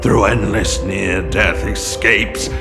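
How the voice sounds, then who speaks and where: Slow, gravelly theatrical detective. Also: male voice, on the low side. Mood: wistful, dramatic. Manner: flowery, deliberate, grandiose. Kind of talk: dramatic video game voice acting. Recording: reverberant hall, music behind.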